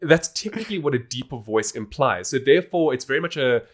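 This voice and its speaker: A man's voice: medium pitch, lively intonation, fast talker. A man hosting a podcast, explaining things in a casual, informal and emphatic way. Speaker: podcast host.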